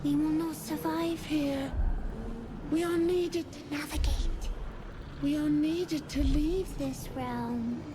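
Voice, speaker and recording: A woman voicing a small, eerie brain creature in a video game, chanting slowly in plural, repetitive phrases. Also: sits high, steady tone, background noise. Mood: eerie urging. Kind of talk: video game character acting.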